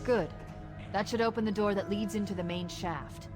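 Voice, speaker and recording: Woman acting as analytical military ai lady in a video game, confident, mid pitch, music going behind her. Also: moderate pace, close to an everyday voice. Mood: focused, brisk. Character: confident, analytical female military artificial intelligence.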